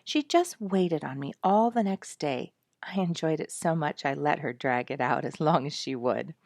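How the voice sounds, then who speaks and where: A woman's voice: low voice, lively intonation, moderate pace, darkish tone, dry recording, clean studio sound. A woman storyteller voicing an emotional, self-deprecating and devoted mother in an earnest, dramatic way. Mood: warm, confiding.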